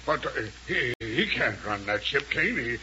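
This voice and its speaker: An actor playing a gruff, stubborn, slow-witted henchman who grumbles plainly, in a 1930s radio serial. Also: mid-range voice, big pitch swings, bright, forceful tone, full character voice. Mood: grumbling, uneasy. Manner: grumbling, plain-spoken, ungrammatical. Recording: muffled, noisy 1930s radio recording.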